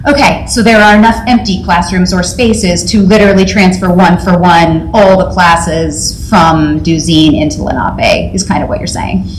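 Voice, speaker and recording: A woman's voice: lowish voice, fairly even intonation, moderate pace, few pauses. Woman, public-comment speaker, hesitant and rambling, roomy hall sound. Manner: hesitant, many fillers and restarts. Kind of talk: public comment at a school board meeting. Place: meeting room. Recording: roomy meeting hall audio, faint noise.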